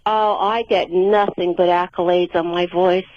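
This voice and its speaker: A woman in her fifties from New Jersey, calling in to a radio talk show and bragging loudly about her looks. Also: fairly low pitch, fairly even intonation, measured pace, no echo. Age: 50s. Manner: boastful, brash, insistent. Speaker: caller. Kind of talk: radio call-in chat. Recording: muffled phone-in audio.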